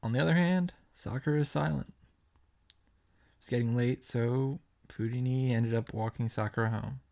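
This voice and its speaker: A man's voice: mid-range voice, moderate pace, vocal fry, dark tone. A man hosting a story recap, reading the narration dramatically and adding wry commentary of his own. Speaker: host of a visual novel reaction and summary series.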